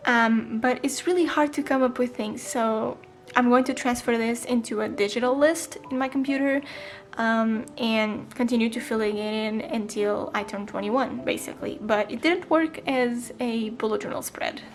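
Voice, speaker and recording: A woman's voice: a little high, normal speed. A twenty-year-old woman chatting casually in a voice-over, with frequent ums and small self-corrections. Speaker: planner vlogger. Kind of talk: casual voice-over flip-through.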